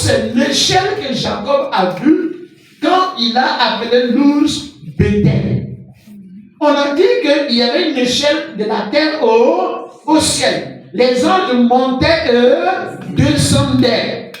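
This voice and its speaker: A man preaching a sermon as pastor, animated and full of everyday examples. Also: extremely high pitch, takes it slowly. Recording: echoey hall from far off with music.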